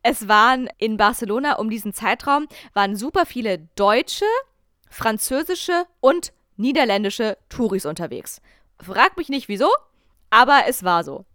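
A young German woman hosting a podcast, telling a travel story in a chatty, animated, self-mocking way. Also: on the high side, moderate pace, no echo, clean studio sound. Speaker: podcast host. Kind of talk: casual storytelling chat.

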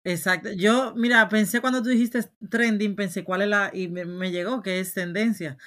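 A woman's voice: mid-range voice, fairly even intonation, moderate pace, a bit bright. Spanish woman, Dominican-tinged accent. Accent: Spanish with Dominican mix. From Spain. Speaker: podcast co-host. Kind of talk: casual chat.

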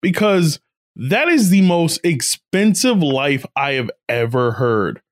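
Animated high-voiced man riffing on a movie. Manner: animated, laughs often, explains points in halting restarts. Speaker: podcast co-host. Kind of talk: casual comedic movie-riffing chat.

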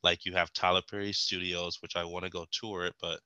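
Deep-voiced young man from Texas. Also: flat delivery, a little fast, bright, forceful tone, clean tone, no room sound, highs cut off. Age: young adult. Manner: rambling, frequent ums and restarts. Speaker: guest. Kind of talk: casual interview.

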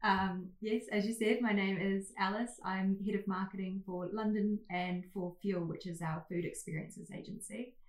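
A woman from New Zealand with a New Zealand accent, a marketing head speaking as a podcast guest in a relaxed way. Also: medium pitch, moderate pace, few pauses. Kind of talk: casual podcast interview. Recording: roomy echo.

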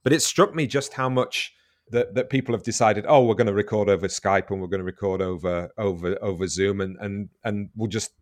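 A man's voice: on the low side, a little fast, no echo, clean studio sound. A man hosting an interview, talking in a thoughtful, rambling way and repeating words as he thinks. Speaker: podcast host, interviewer. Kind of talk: casual interview chat.

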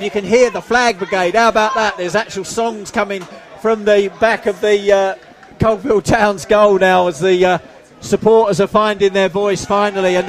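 Excitable play-by-play man, high-pitched, slightly worn voice, faint crowd behind. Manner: excitable, shouts at goals, self-mocking. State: losing his voice. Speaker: football commentator. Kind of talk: live play-by-play commentary. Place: outdoors at a football ground. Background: crowd noise.

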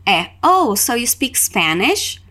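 A woman's voice: on the high side, sing-song, slow, sharp tone. A woman with a Brazilian accent teaching a scripted language lesson as a podcast host, instructive, carefully enunciating example phrases for repetition. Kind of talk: scripted language lesson with repeat-after-me drills.

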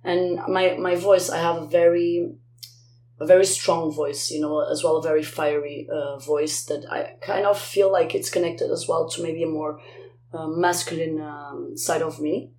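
A woman speaking as a guest singer in a reflective interview conversation, often halting as she searches for words. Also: sits low, fairly even intonation, mellow. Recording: roomy echo, faint background noise.

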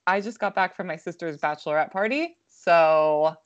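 Hoarse chatty woman, lo-fi mic. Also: lowish voice, moderate pace. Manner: chatty, lots of "like", anxious rambling. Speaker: podcast co-host. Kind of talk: casual chat.

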